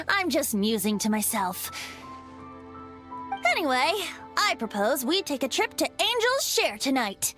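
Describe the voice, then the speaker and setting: A playful young bard in a fantasy game, high-pitched and witty. The lines lilt up and down in a teasing, reflective way over faint music. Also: female voice, very animated, slow pace, exaggerated voice. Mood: musing, amused. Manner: teasing, thoughtful. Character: playful, musing young bard with airy wit. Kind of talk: video game voice acting. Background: faint background music.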